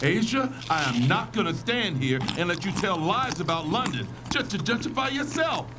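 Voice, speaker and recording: A man's voice: high-pitched, sing-song, normal speed. A man playing a stern, scolding middle-aged husband and father in an audio drama, with noticeable background noise. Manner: scolding, emphatic. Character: stern, controlling husband and father of grown children.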